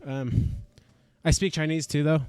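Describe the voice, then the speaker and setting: A man's voice: fairly high pitch, flat delivery, unhurried, darkish tone, clear, resonant tone, dry recording. A man whose first language is Spanish, chatting in a casual, upbeat way as he hosts a reaction video while walking on a treadmill. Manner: chatty, upbeat, self-correcting. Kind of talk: casual reaction vlog chat.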